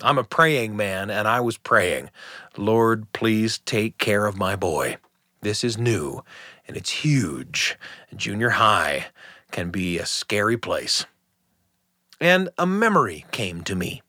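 A middle-aged man hosting a radio show, telling a personal family story in a gentle, reflective, earnest way. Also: on the low side, big pitch swings, takes it slowly, no echo, clean studio sound.

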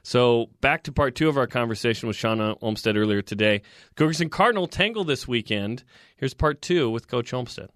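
A man hosting a sports talk radio show, recapping results and reading trivia in a steady, informative way. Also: medium pitch, fairly quick, dry recording, studio quality.

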